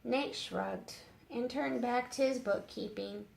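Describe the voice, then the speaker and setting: A woman's voice: a little high, slow, gravelly, a little mellow. A woman narrating a storybook as a read-aloud for story time. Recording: roomy echo with faint background noise.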